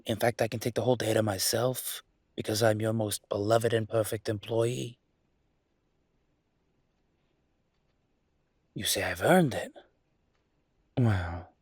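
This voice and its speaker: Man playing a low, husky, charming young mafia boss. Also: no echo, studio quality. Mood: playfully smug. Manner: playful, smooth-talking, teasing. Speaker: voice actor in a roleplay audio. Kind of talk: intimate audio roleplay acting.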